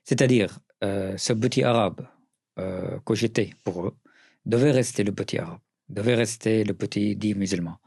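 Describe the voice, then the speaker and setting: A middle-aged man from Syria with a Syrian accent, answering interview questions earnestly, often pausing and restarting. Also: on the low side, creaky, a little mellow, husky, no echo, clean studio sound. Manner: earnest, with frequent hesitations and restarts. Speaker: writer and journalist, interview guest.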